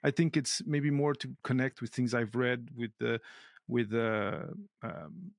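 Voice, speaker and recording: A man speaks reflectively as a podcast guest, pausing to find his words. His voice has a gravelly, creaky texture at a middle pitch. The recording is close and dry, with no room sound. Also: dry recording. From Portugal. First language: Portuguese. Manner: thoughtful, searches for words aloud. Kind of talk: casual conversation.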